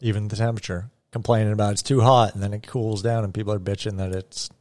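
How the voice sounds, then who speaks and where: A man with a low voice speaks quickly in a flat tone. He keeps to terse agreements and short remarks in a casual chat.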